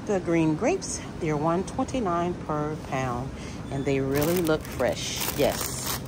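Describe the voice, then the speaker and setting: A woman vlogger filming a grocery store walk-through, reading out each item and its price in a slow, deliberate way. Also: sits low, very noisy. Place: inside a large supermarket.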